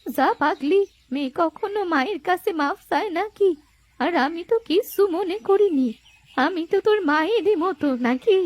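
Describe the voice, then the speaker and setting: A woman's voice: extremely high pitch, expressive, normal speed, no room sound. A woman voicing a humble, motherly old beggar woman in a fairy-tale cartoon, talking in a coaxing, affectionate way. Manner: affectionate, coaxing elder's talk.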